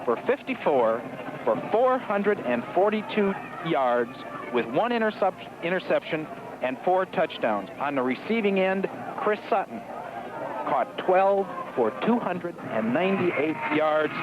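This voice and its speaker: A man's voice: on the high side, very animated, slow. An American man giving color commentary and stats on a live football radio broadcast from an outdoor stadium, in a muffled, noisy 1970s recording.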